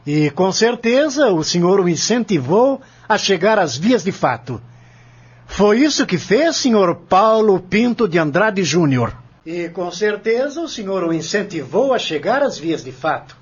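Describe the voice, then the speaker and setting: A stern, formal lawyer cross-examines in an audio drama, played by a man. His voice is high for a man and very lively, with pointed, accusatory phrasing. The sound is somewhat muffled and lo-fi. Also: measured pace, slightly rough, full character voice. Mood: accusing. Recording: dull lo-fi audio.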